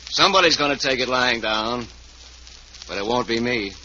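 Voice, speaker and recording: An actor playing a bitter, quietly menacing ruined investor in a 1940s radio drama. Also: male voice, medium pitch, lively intonation, normal speed, close to an everyday voice. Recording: dull, noisy 1940s radio recording.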